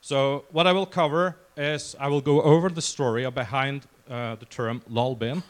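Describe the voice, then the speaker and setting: A man of about forty with a Norwegian accent, speaking to a conference crowd in a loose, self-deprecating way. His voice sits at an ordinary pitch for a man. The delivery is relaxed and conversational, not polished. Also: moderate pace. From Norway. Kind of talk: casual conference presentation. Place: conference session room before a crowd.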